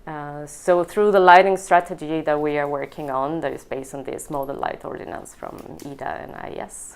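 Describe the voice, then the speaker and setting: Middle-aged woman, Chilean Spanish accent, low-pitched, gravelly fry, reflective interview delivery, hesitant phrasing. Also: slight background noise. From southern Chile. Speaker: lighting designer and team leader. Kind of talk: personal account to camera in an interview.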